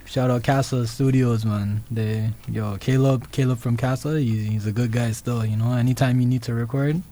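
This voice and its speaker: Give me a young man with a soft, warm voice, talking haltingly as a podcast guest. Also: mid-range voice, fairly even intonation. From Toronto, Canada. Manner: hesitant, many ums and restarts. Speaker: guest musician. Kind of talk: casual interview chat.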